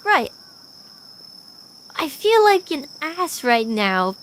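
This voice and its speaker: A woman playing a gentle, apologetic young woman in an audio drama, soft-spoken and hesitant, often trailing off. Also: high-pitched, sing-song, very slow, slight background noise, exaggerated voice. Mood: embarrassed, apologetic. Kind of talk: animatic audio drama acting, naturalistic dialogue.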